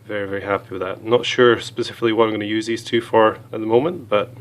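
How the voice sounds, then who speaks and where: A hoarse man with a cold, chatting casually as he reviews small gear items, with many fillers. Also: fairly low pitch, fairly even intonation, fast talker.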